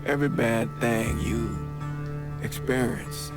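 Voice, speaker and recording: A male actor plays a weary priest in a game cutscene, haunted and reflective. He speaks slowly and haltingly at a medium pitch, over prominent plucked guitar music. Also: steady tone, lightly acted. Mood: sombre, reflective. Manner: halting, sombre.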